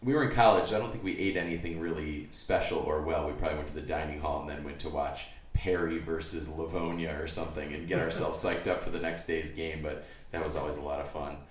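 A man's voice: very deep voice, steady tone, fast, hardly any pauses. An American man co-hosting a podcast in casual banter, recorded at some distance from the mic in a roomy space. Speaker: podcast co-host.

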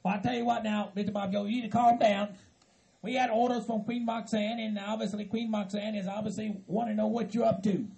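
A man voicing an earnest Southern hillbilly kinsman who stammers, repeats himself and tries to calm others down. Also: high voice, a little fast, vocal fry, rough recording. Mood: apologetic, nervous. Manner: stammering, repetitive, pleading for calm.

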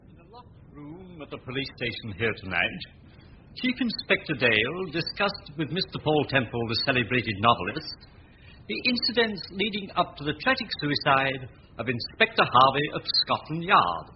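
A man's voice: a little high, sing-song, normal speed. A man playing a deferential, fussy manservant in a 1940s radio drama, formal and discreetly apologetic. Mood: reading aloud. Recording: dull, noisy old 1940s radio recording.

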